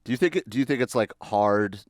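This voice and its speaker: Low-voiced man asking casual questions. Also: lively intonation, fast, no echo, studio quality. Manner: easygoing, filler-heavy questioning. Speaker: podcast co-host.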